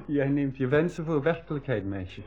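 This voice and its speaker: Guy acting eager sceptical brother in an 80s radio adventure, clear storyteller, muffled old recording. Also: medium pitch, lively intonation, moderate pace, darkish tone, natural voice. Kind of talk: 1980s educational radio drama. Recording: dull 1980s radio recording.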